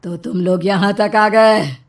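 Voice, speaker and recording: A woman playing a vengeful ghost witch in a children's horror cartoon, menacing and gloating in drawn-out speech. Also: mid-range voice, distant mic, faint music behind. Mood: stern, demanding. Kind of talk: children's animated horror story acting.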